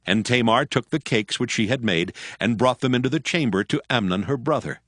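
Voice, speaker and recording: A man narrating scripture from a script in a measured, solemn manner. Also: mid-range voice, lively intonation, a bit bright, dry recording, clean studio sound. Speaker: narrator of a dramatized Bible.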